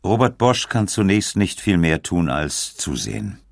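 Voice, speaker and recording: A man reading scripted documentary narration in a measured manner, with dramatic pauses. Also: sits low, expressive, creaky, no room sound, studio quality.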